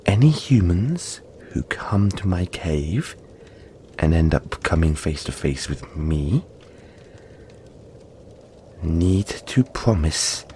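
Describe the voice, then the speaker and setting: A man voicing a gentle, cuddly, long-lived yeti in an intimate audio roleplay, speaking reassuringly with breathy, dramatic pauses. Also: a bass voice, a little hiss or hum, heavily acted. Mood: coy, deliberate.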